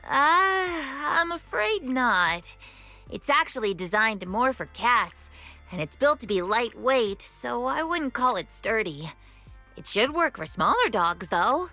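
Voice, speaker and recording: A woman's voice: a little high, big pitch swings, takes it slowly, bright, forceful tone, soft background music, heavily acted. A woman playing an earnest, slightly flustered young pet shop clerk in an anime-style video game. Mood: helpful.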